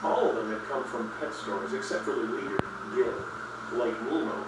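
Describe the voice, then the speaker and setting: A man narrating a scripted children's story in a dramatic, expressive way. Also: medium pitch, moderate pace, very noisy. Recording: roomy, distant playback with loud music.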